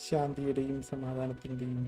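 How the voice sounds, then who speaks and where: A woman's voice: very deep voice, monotone, rapid-fire, warm tone. A woman with a Malayali accent reacting casually to a game as a live streamer. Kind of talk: casual gameplay reaction commentary. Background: game music and dialogue.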